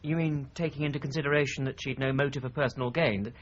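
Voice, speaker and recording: A man's voice: medium pitch, steady tone, fast talker, dull audio, a little hiss or hum, lightly acted. An actor playing a thoughtful, wry British office man in a radio drama, with a conversational manner.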